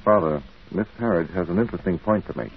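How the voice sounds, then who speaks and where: An actor playing a brisk, matter-of-fact private detective in an old radio drama. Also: male voice, low voice, breathy edge. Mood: brisk, firm. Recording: muffled old radio broadcast.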